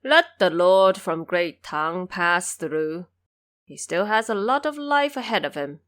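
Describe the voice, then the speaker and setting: A woman's voice: fairly low pitch, expressive, a bit bright. A woman playing a solemn male judge of the underworld in an audio drama, courteous, formal and commanding. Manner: formal, measured, grave.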